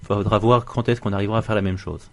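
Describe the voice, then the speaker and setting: A man's voice: fairly low pitch, fairly even intonation, fast talker, a little mellow. A man speaking as a guest on a political radio show, casual and a little hesitant as he searches for words. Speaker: studio guest, company executive.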